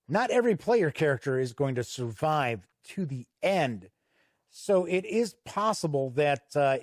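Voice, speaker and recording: A man hosting an unscripted review walk-through, explaining things in a measured way with deliberate pauses. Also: a little high, a little mellow, no room sound, clean studio sound. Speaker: host and reviewer.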